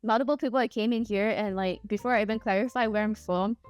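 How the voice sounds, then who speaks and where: A woman's voice: medium pitch, fairly even intonation, fast talker, faint music behind. A young woman from Singapore with a mixed, partly Singaporean accent, rambling casually as a streamer while she plays, often laughing and pleading playfully. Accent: mixed, partly Singaporean English. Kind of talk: casual livestream chat while gaming.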